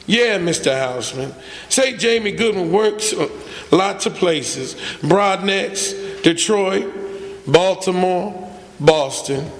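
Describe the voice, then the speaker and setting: A man's voice: sits high, animated, slow, exaggerated voice. An actor playing a humble, earnest working man, hesitant and plain-spoken, in a radio drama. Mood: weary, pleading. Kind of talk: 1940s radio drama acting. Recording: live stage, long hall reverb.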